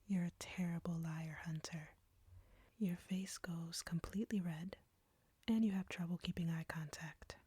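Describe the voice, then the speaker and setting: Whispering, taunting vampire woman, acted by a woman, low and slow. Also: warm tone, no echo, full character voice. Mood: smug teasing. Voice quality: breathy, whispery. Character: arrogant, flirty female vampire captor, teasing and domineering. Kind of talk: intimate whispered audio roleplay.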